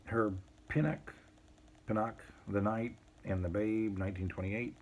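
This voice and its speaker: A man casually showing a collection on an unscripted video, reading items out in short, halting phrases with muttered asides. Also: on the low side, steady tone, darkish tone, slight background noise. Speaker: collector showing vintage baseball cards. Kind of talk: casual unscripted show-and-tell, reading out cards.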